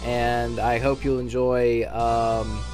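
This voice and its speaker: Music plays behind a man with a mid-pitched voice. He talks casually and without a script, like a fan project organizer addressing viewers. His delivery is halting and wandering, often stopping to restart a phrase. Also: little pitch movement. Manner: hesitant, halting, rambling delivery with frequent trailing restarts. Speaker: fan dub director and voice actor announcing auditions. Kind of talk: unscripted casual announcement to viewers.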